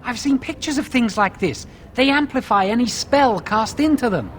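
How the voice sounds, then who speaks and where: A man playing an eager young mage apprentice in a fantasy game, earnest and expressive. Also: extremely high pitch, normal speed, faint music behind. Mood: curious, keen.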